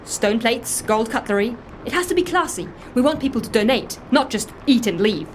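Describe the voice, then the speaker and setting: A woman's voice: on the high side, expressive, moderate pace, a little hiss or hum, natural voice. An actress playing an anxious, self-justifying woman in an audio drama, telling her story in a fussy, emphatic, defensive way. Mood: harried, defensive. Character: anxious, self-justifying woman confessing impersonation, earnest dog lover. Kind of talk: audio drama dialogue, first-person confession.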